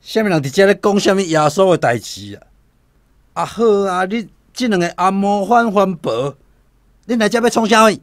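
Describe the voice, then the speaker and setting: An actor playing an old, quick-tempered father in a radio drama, with a Taiwanese accent. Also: high-pitched, expressive, no echo, studio quality. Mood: angry, scolding. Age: older.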